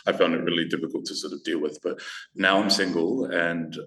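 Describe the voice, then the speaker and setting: Raspy low-voiced aussie guy, camp and jokey, echoey room. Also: steady tone, brisk, a bit bright, a little hiss or hum. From Australia. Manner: playful, camp, laughing often. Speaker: guest drag performer. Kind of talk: casual podcast chat.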